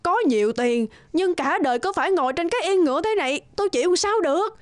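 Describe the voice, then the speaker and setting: High-pitched, scolding bossy wife. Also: female voice, expressive, fast, dry recording. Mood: exasperated, scolding. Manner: scolding, exclamatory. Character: fat bossy nagging middle-aged wife, exasperated. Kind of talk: children's fairy-tale cartoon dub.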